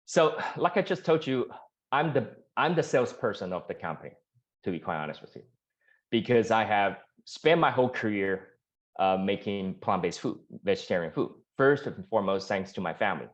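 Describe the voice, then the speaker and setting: A man from Taiwan, a startup founder answering investor questions earnestly, with frequent restarts and fillers. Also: moderate pace. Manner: earnest, many restarts and fillers, rises in pitch when animated. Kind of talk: pitch Q&A answers. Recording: dull lo-fi sound.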